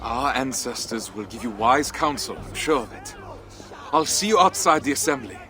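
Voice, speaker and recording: An actor playing a proud, earnest Viking trader and husband in a video game cutscene. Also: male voice, medium pitch, animated, normal speed, pushed and bright. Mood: resolute. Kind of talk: dramatic game cutscene acting. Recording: music behind the voice.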